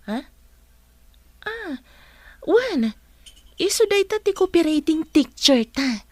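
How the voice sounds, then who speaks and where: Playful Filipina college girl for audio drama, acted by a woman: high, swooping, talkative, close dry recording. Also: big pitch swings, takes it slowly, darkish tone, no echo, heavily acted. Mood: teasing. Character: chatty, teasing young female student teacher. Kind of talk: Ilocano audio drama acting.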